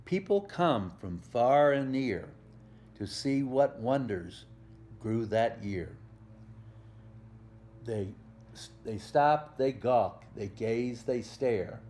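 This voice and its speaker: Slow, mellow man reading to small children. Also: medium pitch, lively intonation. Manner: gentle, simple explaining, questions to the listener. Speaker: volunteer storyteller. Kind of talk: children's picture book read-aloud with asides to young listeners.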